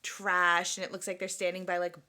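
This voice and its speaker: A woman's voice: lowish voice, completely flat delivery, fairly quick, bright, forceful tone. A woman co-hosting a podcast in casual chat, giggly and chatty, often restarting her sentences. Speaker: podcast co-host.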